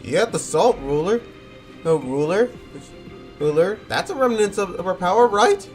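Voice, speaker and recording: A man's voice: high voice, sing-song, normal speed. A man giving casual, theatrical gameplay commentary, full of mock-dramatic exclamations. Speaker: gamer, video creator. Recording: voice under loud game music.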